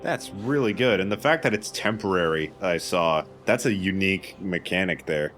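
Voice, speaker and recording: A man's voice: low-pitched, moderate pace, fairly bright tone, faint music behind. A man giving casual reaction commentary as co-commentator on a let's play.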